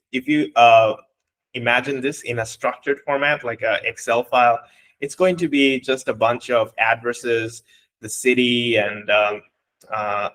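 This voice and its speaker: A man from Bangladesh whose first language is Bengali, explaining code step by step in a relaxed way, with fillers and restarts. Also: medium pitch, poor audio. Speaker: coding instructor. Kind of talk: walking through code live.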